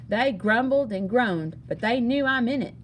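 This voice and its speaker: A woman reading a children's story aloud in an animated, expressive way with emphatic delivery. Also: fairly high pitch, moderate pace, slight background noise, low-quality recording. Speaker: storybook reader.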